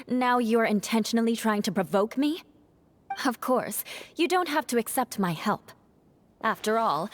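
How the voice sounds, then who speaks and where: A woman playing a proud, sardonic young noblewoman knight in a video game, haughty, sarcastic and clipped. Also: on the high side. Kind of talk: video game cutscene acting.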